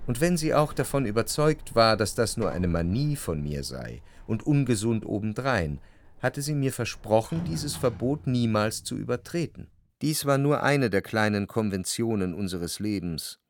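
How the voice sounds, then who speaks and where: An actor playing an anxious, jealous lover who recalls his life in measured, introspective radio drama narration. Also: fairly low pitch, lively intonation, slight background noise. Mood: brooding, introspective. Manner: measured, introspective, literary phrasing. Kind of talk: literary radio drama narration with quiet dialogue.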